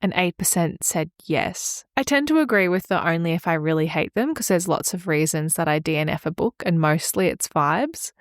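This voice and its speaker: A woman's voice: on the low side, expressive, normal speed, hardly any pauses, no echo, studio quality. A woman co-hosting a podcast in casual, informal chat, with a self-mocking way of talking. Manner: informal, self-mocking, acts out imagined quotes. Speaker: podcast co-host. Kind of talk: casual book podcast chat, reading out listener poll results.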